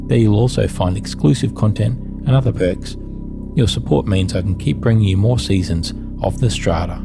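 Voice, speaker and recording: A man's voice: on the low side, vocal fry. A man reading scripted podcast announcements and credits as the show's announcer. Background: music.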